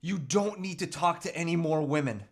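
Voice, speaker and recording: Man acting an earnest, high-pitched troubled husband. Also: animated, normal speed, creaky. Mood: pleading, self-coaxing. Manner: earnest, hesitant, pleading. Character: troubled husband and father resisting temptation, earnest, self-pitying. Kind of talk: live table read of a movie script.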